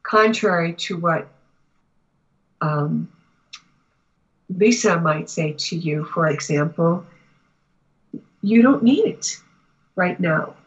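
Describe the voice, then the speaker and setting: A woman gives a spiritual reading at a slow, measured pace, pausing often between phrases. Her voice is husky, and the recording is somewhat muffled. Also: medium pitch. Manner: halting, deliberate phrasing with long pauses. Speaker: Akashic Records reader, guest. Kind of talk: spiritual reading given to a client.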